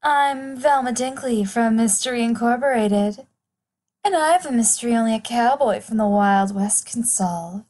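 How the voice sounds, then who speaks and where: A woman's voice: fairly high pitch, moderate pace, darkish tone, natural voice. A woman playing a flirtatious woman who is role-playing a cartoon sleuth, suggestive and playful, in a comedic audio drama. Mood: flirty, playful.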